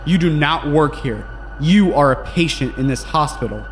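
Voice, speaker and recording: A man's voice: on the high side, animated, moderate pace, lightly acted. Man acting a stern, weary night-shift doctor. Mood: firm, controlled. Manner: firm, authoritative, clipped orders. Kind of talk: horror audio drama acting. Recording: music behind the voice.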